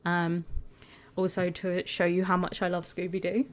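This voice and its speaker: A woman with a croaky voice, chatting casually and rambling a bit as she talks over her video. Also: fairly low pitch, monotone, normal speed. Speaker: gaming video creator.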